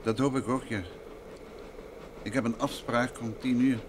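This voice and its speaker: Male actor, attentive gentleman detective, medium-pitched voice, mid-century radio play style, clearly noisy recording. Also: fairly even intonation, measured pace, close to an everyday voice. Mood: tired, patient. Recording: old radio recording, clear train rumble noise.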